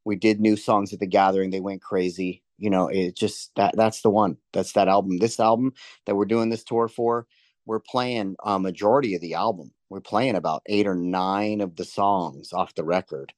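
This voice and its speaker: A man's voice: low voice, fairly even intonation, moderate pace, a little mellow, no room sound. A man chatting casually in an interview as a touring musician, with slangy, informal phrasing. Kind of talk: casual chat.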